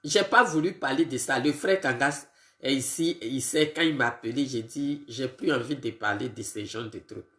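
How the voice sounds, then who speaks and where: A woman who has a bad cold, greeting her viewers one by one on a casual live video and talking in a halting way with many fillers. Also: a bass voice, fairly bright tone, roomy sound. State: congested with a cold, sniffly and tired. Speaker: political live streamer.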